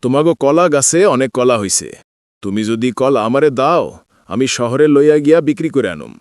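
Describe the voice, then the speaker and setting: A man's voice: medium pitch, expressive, fairly quick, darkish tone, no room sound, clean studio sound, lightly acted. A man voicing a friendly, businesslike village trader in a cartoon fairytale, using Bangladeshi Bengali dialect. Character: friendly village trader, older-brother type, talking business.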